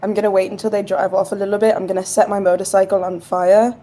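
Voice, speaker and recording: A woman's voice: medium pitch, flat delivery, fast talker, warm tone. A woman playing a tabletop roleplaying game, casually speaking as her character and describing her actions.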